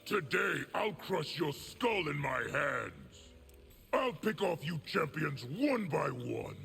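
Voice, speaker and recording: An actor voicing a fierce, brutal warlord general in a fighting game, with a gravelly voice and contemptuous manner. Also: male voice, a little high, very animated, slow pace, bright, forceful tone, in a large hall, soft background music, full character voice. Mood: menacing.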